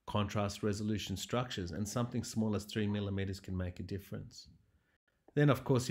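A man's voice: medium pitch, darkish tone. A man lecturing over slides as a radiology lecturer, explaining things methodically. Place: voice-over recorded over presentation slides.

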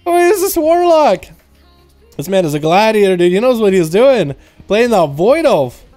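A man with a slight Russian accent giving excited, fast play-by-play commentary on a video game match, with loud outbursts at big plays. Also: high voice, soft background music. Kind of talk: casual esports commentary.

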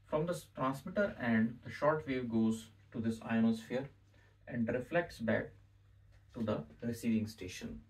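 A middle-aged man with an Indian accent explains as a physics teacher, deliberately and repeating key phrases, in a small room. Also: mid-range voice. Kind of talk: explanatory whiteboard lesson. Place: small indoor room with whiteboard. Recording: distant microphone in a room.

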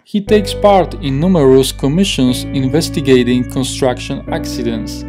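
A man's voice: medium pitch, normal speed, music in the background. A man in his thirties with a Polish accent, hosting a history channel and reading a scripted narration carefully from a home office on a lapel mic. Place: home office with desk and plant.

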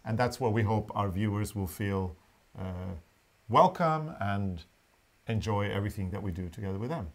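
A man's voice: fairly low pitch, lively intonation, normal speed. A middle-aged man co-hosting a knitting channel, chatting playfully to camera in a living room, with the camera on the table. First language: Norwegian. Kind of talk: casual chat to camera.

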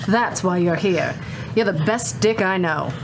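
A woman playing a chain-smoking police chief in a comedic old-time radio play, reading deadpan. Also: on the low side, a little slow, close to an everyday voice. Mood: terse. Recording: loud prop clatter and noise.

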